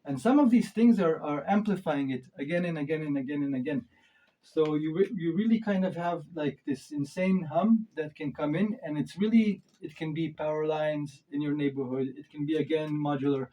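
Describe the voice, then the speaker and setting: A man's voice: a little high, normal speed, warm tone, low-quality recording. A man giving a casual hands-on gear review, thinking aloud in an informal way with emphatic stresses. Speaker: reviewer of modular synth gear.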